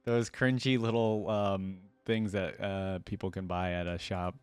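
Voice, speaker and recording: A man's voice: sits low, flat delivery, a little slow, darkish tone, clear, resonant tone. A man hosting a reaction video, musing casually and repeating lines he hears in a laid-back manner. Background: faint music.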